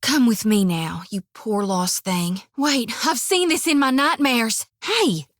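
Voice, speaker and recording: Game companion, young priestess, folksy slangy drawl, bright-ish high voice, close dry studio sound. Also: female voice, animated, slow, dry recording. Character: young devout woman priest, folksy and spirited. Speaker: companion character. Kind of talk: video game voice acting.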